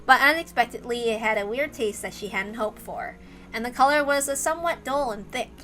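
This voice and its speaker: A woman narrates a visual novel in a fairly high, bright voice. She reads the scripted story aloud, with faint music behind her. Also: fairly quick.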